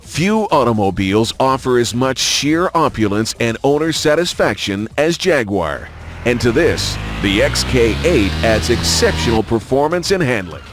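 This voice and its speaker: A man's voice: medium pitch, very animated, normal speed. A man reading scripted promotional narration for a car showcase in a racing video game, with a polished announcer delivery. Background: music.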